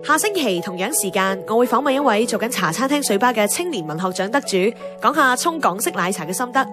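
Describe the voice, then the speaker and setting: An actress playing an idealistic, earnest radio host with a Hong Kong accent, delivering a reflective scripted monologue in an audio drama. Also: female voice, on the high side, animated, fast, over music, lightly acted. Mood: bright, signing off. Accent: Hong Kong Cantonese.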